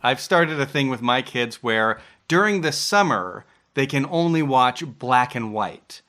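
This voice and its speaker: A man's voice: mid-range voice, lively intonation, measured pace, pushed and bright. A middle-aged American man chatting casually as he hosts a podcast interview.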